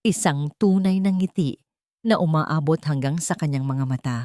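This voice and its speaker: A woman storyteller reading scripted dramatic fiction in a measured, solemn way, in short phrases. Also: deep voice, dark tone, no room sound, clean studio sound.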